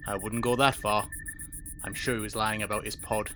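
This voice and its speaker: An actor plays a stern station principal in a science fiction audio drama. The voice sits at a medium pitch and is formal and clipped, full of suspicion and used to command. There is faint noise behind it. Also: little pitch movement, normal speed, close to an everyday voice. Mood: guarded, businesslike.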